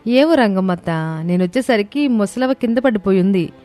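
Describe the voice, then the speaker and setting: A woman voicing an anxious, caring village woman in an animated moral story. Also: medium pitch, moderate pace, natural voice. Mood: alarmed. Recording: music behind the voice.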